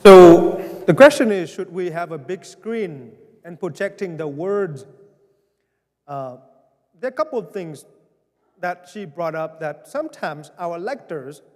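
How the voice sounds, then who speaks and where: A parish pastor with a Vietnamese accent speaks to his congregation in a high voice, earnest and pleading, with a wry, gentle humor. The sound has the long, lingering reverb of a large church. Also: animated, unhurried. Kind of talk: town hall address to parishioners.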